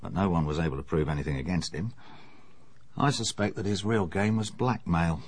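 An actor playing a bluff, chummy middle-aged English police inspector in a radio drama, matter-of-fact and worldly. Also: male voice, low-pitched, a little fast, breathy edge, no echo. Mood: chummy, knowing.

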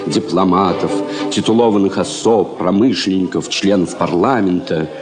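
A man's voice: sits low, normal speed, over music. A man reading scripted narration as the narrator of a radio play.

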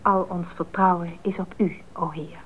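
Actrice als kalme vrome vrouw, lage stem. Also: female voice, lowish voice, steady tone, normal speed, close to an everyday voice. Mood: calm, earnest. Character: composed, devout woman of a missionary flying group. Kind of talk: Dutch radio drama acting. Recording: muffled, noisy narrowband radio play recording.

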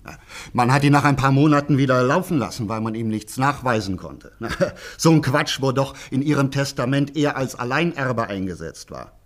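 A man's voice: mid-range voice, expressive, normal speed, close to an everyday voice. A man acting an eager, gossipy small-town local in a German radio crime play, talkative and emphatic. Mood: eager, indignant. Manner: talkative, emphatic, indignant asides. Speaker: actor in a radio crime drama.

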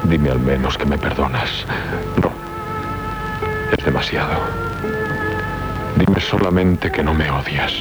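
Deep-voiced, lovelorn young Castilian nobleman. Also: takes it slowly, long pauses. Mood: pleading. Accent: Castilian Spanish. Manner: solemn, earnest, theatrical delivery. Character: lovelorn, guilt-ridden young nobleman warrior. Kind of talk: 1970s Spanish radio theatre acting. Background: prominent music.

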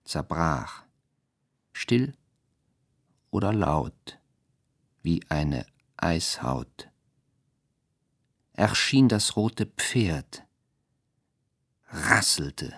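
Very deep, husky man, extremely slow recitation. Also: big pitch swings, fairly bright tone. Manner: halting, fragmented, stylised phrasing. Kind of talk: experimental sound-poetry recitation in a radio play.

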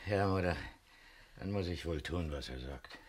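An actor playing a stubborn older husband who grudgingly gives in, in a radio crime drama. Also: male voice, low voice, normal speed, noisy background, lightly acted. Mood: resigned. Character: stubborn old husband, possessive, grudgingly giving in.

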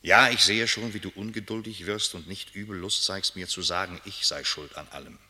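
A brooding nobleman with a deep voice and diction. He is reserved and weighs his words with a troubled conscience, in the literary manner of a radio play. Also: steady tone, brisk, pushed and bright. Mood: grave, reproachful reading. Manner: reads a letter aloud with muttered asides. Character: aristocratic married gentleman, brooding, reserved, conscience-pricked by a letter. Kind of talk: German literary radio drama acting.

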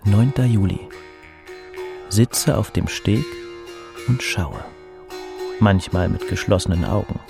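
A man playing a burnt-out poet who reads out his reflective letters in a measured, contemplative way, as scripted diary-like narration. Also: medium pitch, gravelly, dark tone, breathy edge, full character voice. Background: music behind the voice.

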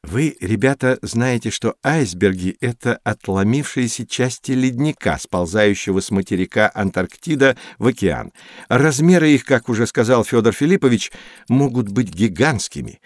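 A man with a medium-pitched voice presents an educational programme for children. He explains clearly and didactically, in a close, dry recording. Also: dry recording. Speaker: host of an educational audio programme.

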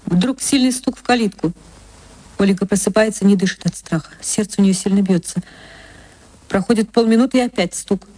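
A woman, an actress, reading a short story aloud in an expressive, tender and dramatic way. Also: medium pitch, a little fast, rough recording. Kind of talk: expressive literary narration.

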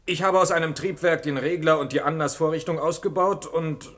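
An actor playing an evasive, nervous defendant in a German radio play, halting and defensive under questioning. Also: male voice, a little high, steady tone, a bit bright. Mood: reluctant confession.

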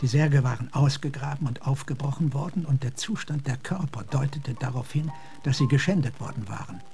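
An actor playing a middle-aged, philosophical gentleman and former officer in a German radio drama, speaking formally, deliberately and thoughtfully. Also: mid-range voice, gravelly, faint music behind, close to an everyday voice. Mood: grave storytelling.

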